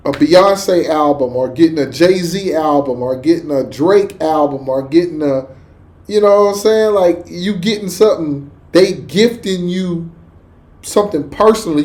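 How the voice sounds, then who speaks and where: A man gives casual reaction commentary in a clearly high voice for a man. He talks in slang and leans hard on his points. He often circles back and repeats his phrases. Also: moderate pace, darkish tone. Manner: slangy, emphatic, repeats himself. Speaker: reaction show host.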